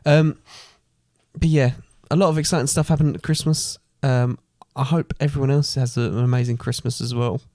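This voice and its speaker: A man's voice: mid-range voice, steady tone, normal speed, warm tone, no room sound, clean studio sound. A man co-hosting a podcast in casual chat. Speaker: podcast co-host.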